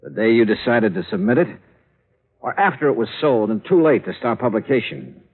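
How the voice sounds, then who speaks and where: A hardboiled insurance investigator talks in clipped, wry lines and narrates his own case. His pitch moves in a lively way. It comes through a narrow, phone-like old radio broadcast. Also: brisk, husky. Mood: probing, hard. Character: tough, dry male insurance investigator narrating. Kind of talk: 1950s radio drama acting.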